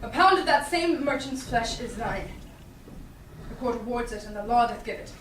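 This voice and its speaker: Woman as stern stage judge, formal declamation, bright voice, roomy noisy recording. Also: on the high side, lively intonation, normal speed, far from the mic. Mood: solemn. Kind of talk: Shakespearean staged reading. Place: small indoor theatre stage. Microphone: distant audience camera.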